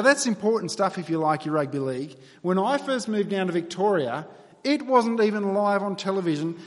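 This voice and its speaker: A man with an Australian accent preaching a sermon, praying earnestly and then telling stories in a conversational way with wry asides. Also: high-pitched. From New South Wales, Australia. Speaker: pastor. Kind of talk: sermon with prayer. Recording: long reverb of a church hall.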